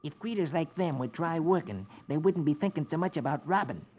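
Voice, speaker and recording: A man's voice: on the high side, lively intonation, brisk, slight background noise, natural voice. A man voicing a clever, wry cartoon rabbit, in a 1950s radio story. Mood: wry, pleased. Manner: folksy dialect, wry. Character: clever folksy male cartoon rabbit hero. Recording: muffled old radio recording, music behind.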